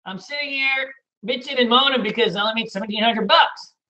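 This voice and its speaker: A middle-aged man teaching day trading in a casual, loud and blunt way, hooting and swearing as he talks over a screen share. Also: very high voice, fairly quick, rough recording. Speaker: trading educator.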